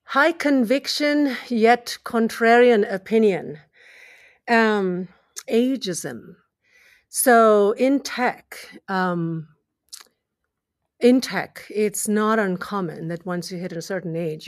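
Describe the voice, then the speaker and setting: Middle-aged woman guest rambling along, lots of restarts, mid pitch, dry close mic. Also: slow pace, dry recording. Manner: rambling, many restarts and fillers, trails off quietly. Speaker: podcast guest, engineering leader. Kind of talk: casual conversational interview.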